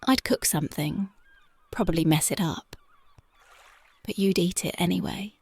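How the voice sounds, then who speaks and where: A tender young punk girlfriend, voiced by a woman, murmurs slow, dreamy sweet talk in a close ASMR roleplay. Her voice sits lowish and husky, with a gravelly fry that rasps through the hushed words. The sound is dry and close, with no room around it. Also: no room sound. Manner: dreamy, intimate, affectionate. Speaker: voice actress. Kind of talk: ASMR roleplay, soft-spoken and whispered. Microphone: close mic.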